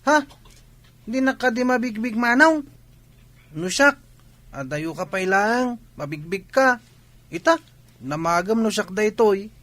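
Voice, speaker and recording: Nosy filipino village kid, cheeky, high voice, radio comedy. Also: male voice, lively intonation, unhurried, long pauses, bright, forceful tone, dry recording, exaggerated voice. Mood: indignant, cheeky. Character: cheeky, inquisitive village boy visiting his grandparents. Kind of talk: comedy radio drama acting.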